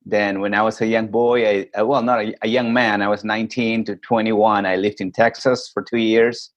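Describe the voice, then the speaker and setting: A man from Peru, a podcast guest calling in remotely, telling his stories in a reflective, unhurried way. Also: fairly low pitch, flat delivery, dull audio. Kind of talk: casual interview chat.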